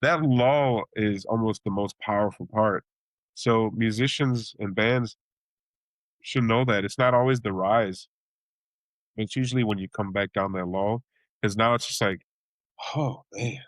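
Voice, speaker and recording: A man from Chicago, a blues singer and bandleader, talking casually in an interview, rambling with many restarts and acting out reactions in sudden high exclamations. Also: unhurried, no room sound, poor audio.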